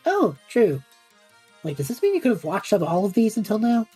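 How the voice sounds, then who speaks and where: Woman as cocky young man adventurer, very animated, mellow slightly husky voice, flippant showman chatter, audio drama. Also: mid-range voice, normal speed, faint music behind. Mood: breezy banter. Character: cheeky, cocky young male adventurer and self-styled broadcaster. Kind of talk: fantasy audio drama acting.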